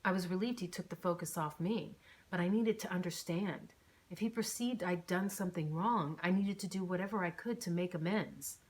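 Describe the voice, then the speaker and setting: Slightly low-pitched woman narrating an audiobook. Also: normal speed, darkish tone. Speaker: author narrating own audiobook. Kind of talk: scripted first-person novel narration.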